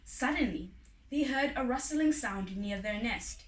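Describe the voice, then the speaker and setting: Slow, high-voiced woman storyteller in echoey room. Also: lively intonation. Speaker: story reader. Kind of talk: expressive folk tale reading. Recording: echoey room, distant from mic.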